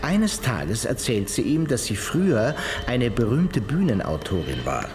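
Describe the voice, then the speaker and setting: An actor playing a talkative, cynical musical producer in a radio crime drama, telling things expansively. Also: male voice, medium pitch, big pitch swings, unhurried, over music. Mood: storytelling.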